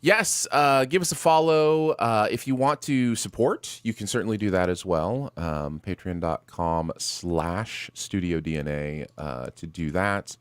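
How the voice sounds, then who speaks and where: Guy cohosting a stream, deep voice, really animated, dry close sound, casual and appreciative. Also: a little slow, hardly any pauses, clean tone, dry recording. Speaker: co-host of a live stream and podcast. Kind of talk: casual livestream chat.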